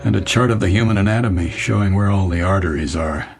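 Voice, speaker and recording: An actor playing an insecure, worn-down middle-aged advertising executive in a radio drama, halting, weary and brittle. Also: male voice, low voice, vocal fry, faint music behind. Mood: weary, bitter. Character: frayed, insecure thirty-eight-year-old male advertising executive.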